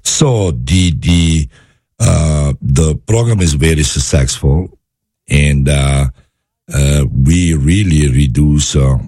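A man from Italy with an Italian accent, a guest chef talking in a studio interview, halting with many fillers and restarts. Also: a bass voice, dark tone, no echo. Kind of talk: interview conversation.

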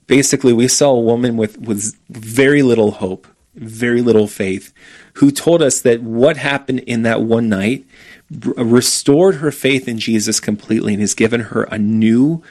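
An earnest man telling a story in relaxed conversation, mid-pitched and natural. He animates the tale with bits of quoted dialogue and steady conviction. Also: normal speed. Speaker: ministry speaker.

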